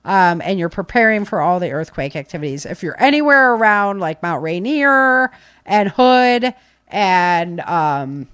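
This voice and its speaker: A woman's voice: on the low side, lively intonation, measured pace, a bit bright, clear, resonant tone, no room sound. American woman podcaster, dry close sound. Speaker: podcast host. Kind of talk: casual unscripted podcast commentary.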